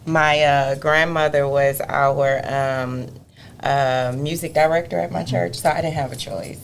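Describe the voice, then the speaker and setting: A woman, a gospel singer and interview guest, tells stories in an expressive, animated way and often breaks into laughter. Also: low-pitched, a little slow, vocal fry, a little hiss or hum. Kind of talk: conversational interview storytelling.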